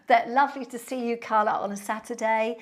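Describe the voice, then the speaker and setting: A woman's voice: on the high side, fairly even intonation, a little fast. Friendly woman in her sixties. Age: 60s. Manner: friendly, thanks viewers by name, chatty with fillers and repeats. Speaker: Pilates instructor. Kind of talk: live-stream chat reading out viewer comments.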